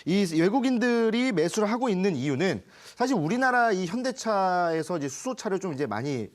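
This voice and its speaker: A man's voice: sits high, sing-song, a little fast. A man working as a stock market expert, explaining charts in a colloquial broadcast talk with folksy asides.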